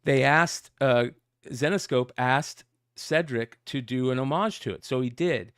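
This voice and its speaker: A man's voice: mid-range voice, a little slow, a bit bright, clean tone, dry recording, studio quality. A man hosting a casual comic news talk show, rambling and reading out a message in his plain voice. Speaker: host.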